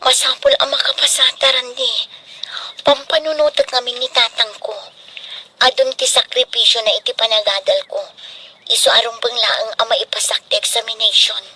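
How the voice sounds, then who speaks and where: A woman's voice: a little high, flat delivery, moderate pace, sharp tone, slight background noise, rough recording. A woman playing an earnest, anxious young student daughter in a radio drama, with a pleading, emotional manner. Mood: worried, guilty.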